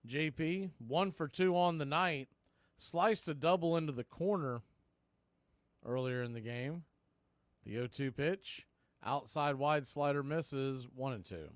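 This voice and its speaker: A man hosts a live baseball radio broadcast, calling the game pitch by pitch. His voice sits a little high for a man and is recorded dry and close. He talks in clipped phrases, slipping in short asides between pitches. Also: unhurried, no echo. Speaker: baseball play-by-play announcer and show host.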